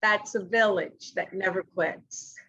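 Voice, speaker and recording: A woman hosting an interview podcast over a video call from her living room, introducing her guest and asking questions slowly and deliberately. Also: mid-range voice, gravelly, pushed and bright, breathy edge, muffled recording. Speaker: podcast host. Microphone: video call webcam.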